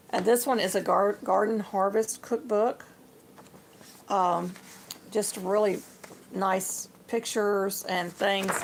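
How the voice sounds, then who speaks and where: Chatty woman with a gravelly voice. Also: medium pitch, a little hiss or hum. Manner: chatty, homey, addresses viewers as y'all. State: slightly hoarse. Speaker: livestream host. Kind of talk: casual livestream show-and-tell.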